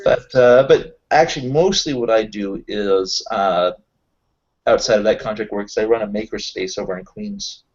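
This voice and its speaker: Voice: mid-range voice, normal speed, a little mellow. Hesitant roleplayer in a dull recording. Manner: hesitant, rambling explanations. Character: Kyle, affable self-employed tech contractor. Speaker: tabletop roleplay player. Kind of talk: improvised in-character casual dialogue.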